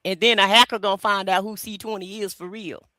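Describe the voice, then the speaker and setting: A man with a high, bright voice comments on a video clip in loose, casual podcast talk. He explains with plenty of fillers, his tone edged and forceful. The sound is dry and close, with no room echo. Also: fairly even intonation, a little fast. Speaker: podcast co-host.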